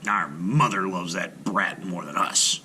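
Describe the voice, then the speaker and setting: A man's voice: deep voice, a little slow, slight background noise, voice pushed down, full character voice. A man voicing a jealous, scornful older brother in a storybook read-aloud. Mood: jealous, plotting. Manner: sneering, growling, shouting.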